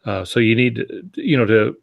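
A man's voice: medium pitch, lively intonation, normal speed, gravelly. A man in his sixties giving casual, reflective advice as a podcast co-host on a video call from a home office. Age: 60s. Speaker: podcast co-host, experienced writer. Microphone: video call on earphones.

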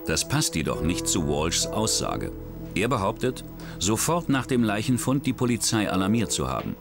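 A man's voice: on the low side, very animated, moderate pace. A man reading the scripted narration of a true crime documentary in a dramatic, suspenseful way. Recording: voice-over with music bed.

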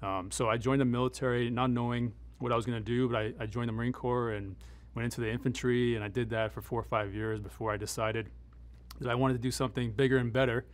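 A man's voice: mid-range voice, flat delivery, quick pace. Middle-aged man, matter-of-fact interview talk. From United States, born in Afghanistan. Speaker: military advisor and former Green Beret. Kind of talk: interview soundbites, conversational explanation.

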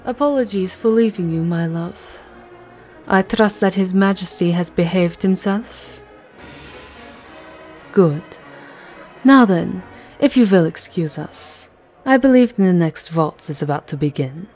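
A woman playing an elegant vampire countess, soft, intimate and courtly, in an ASMR roleplay. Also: lowish voice, lively intonation, measured pace, pauses often, faint music behind. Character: elegant vampire countess, tender yet formidable lover.

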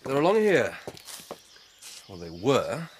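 An actor playing an earnest, dutiful English police inspector in a radio drama, respectful and matter-of-fact. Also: male voice, medium pitch, huge pitch swings, takes it slowly, slight background noise.

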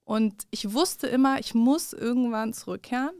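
A woman in her thirties, originally from Afghanistan, answers interview questions in a measured, reflective way. Her voice has a slight gravelly fry, and the sound is close and dry, picked up by a studio mic in a small curtained studio. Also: fairly high pitch, a little mellow, no room sound. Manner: thoughtful, measured, with many fillers and restarts. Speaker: guest journalist. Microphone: large studio mic on a stand.

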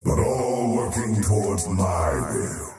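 Faceless void being, fantasy game villain, cold and arrogant, grandiose menace, slow pacing, widely swooping intonation. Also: male voice, deep voice, big pitch swings, roomy sound, distant mic, over music, heavily acted. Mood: grandiose, ominous. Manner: grandiose, menacing, deliberate theatrical delivery. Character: faceless male void creature, cold arrogant oathbreaking acolyte of time. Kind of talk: scripted fantasy video game character lines.

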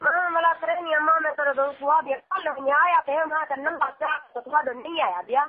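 A woman's voice: sits high, steady tone, brisk. A woman talking casually as a caller in a phone conversation. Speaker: phone caller, older relative addressed as aunt. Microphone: telephone. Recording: tinny, band-limited phone line.

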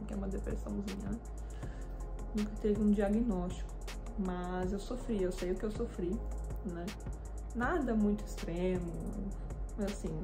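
Chatty Brazilian woman in her twenties. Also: medium pitch. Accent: Brazilian Portuguese. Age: 20s. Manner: chatty and animated, with frequent hand gestures. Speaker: fitness vlogger. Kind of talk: casual personal storytelling to camera. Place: small indoor room with a desk and lamp.

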